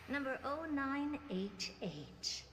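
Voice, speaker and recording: A proud, eccentric genius woman from an anime game. She lectures theatrically in a slightly high, self-satisfied voice, with faint background noise. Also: pushed and bright, heavily acted. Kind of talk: anime game story dialogue.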